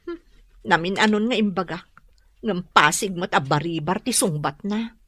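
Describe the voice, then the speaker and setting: A woman's voice: fairly low pitch, normal speed, a bit bright, dry recording. A woman playing a worried, apologetic mother in a radio drama, pleading with a Filipino accent. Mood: troubled. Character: worried, pleading mother behind on boarding house rent.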